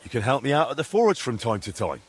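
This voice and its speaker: A young blacksmith in a game drama. His voice is slightly rough and very varied in pitch. He comes across as earnest, plain-spoken and eager to help. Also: big pitch swings, fast talker. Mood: friendly, encouraging. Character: helpful, inquisitive young male blacksmith, earnest and friendly.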